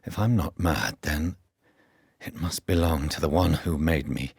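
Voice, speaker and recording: An actor playing a grave, refined British doctor turned vampire in a video game, speaking formally with measured, precise diction. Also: male voice, very deep voice, gravelly, slightly rough, no echo, clean studio sound, heavily acted. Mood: brooding, resolute. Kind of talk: video game character dialogue.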